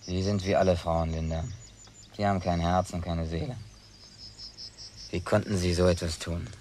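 An actor as a brash, low-voiced young working man. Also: normal speed, a little hiss or hum, natural voice. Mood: shocked, reproachful. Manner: colloquial, blunt, excitable. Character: brash, touchy young American working man, country-bred. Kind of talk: naturalistic German radio play dialogue.

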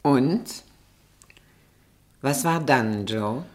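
Vintage radio drama, candid female confidante, composed, direct, quietly reproachful and firm. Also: a bass voice, expressive, extremely slow, exaggerated voice. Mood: curious. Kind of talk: 1960s German radio play acting.

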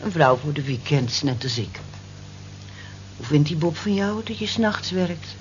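Gossipy, animated low-voiced cleaning woman. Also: measured pace. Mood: nosy, cautioning. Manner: nosy, teasing, animated. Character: gossipy, teasing married night-shift cleaning woman. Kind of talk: Dutch radio drama acting. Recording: dull lo-fi old radio recording, faint noise.

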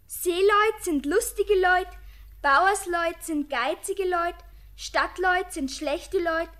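A woman playing a young girl reciting in a slow singsong in a German radio play. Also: very high-pitched, fairly bright tone, exaggerated voice. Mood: playful singsong.

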